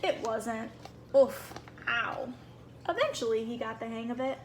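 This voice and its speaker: A woman with a high voice reads a children's story aloud. Her delivery is expressive and animated, made for young listeners. There is faint noise behind her. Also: a little slow. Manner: animated, acts out small moments.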